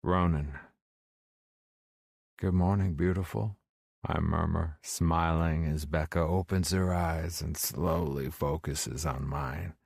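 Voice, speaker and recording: Male audiobook narrator, low register, first-person romance, dry recording. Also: steady tone, slow, vocal fry, few pauses, slightly rough, no room sound.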